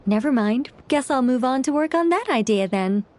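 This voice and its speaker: A woman's voice: high voice, expressive, moderate pace, a little mellow. A woman playing an eccentric, theatrical novelist in a video game, speaking formally and with great self-assurance. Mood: matter-of-fact, musing.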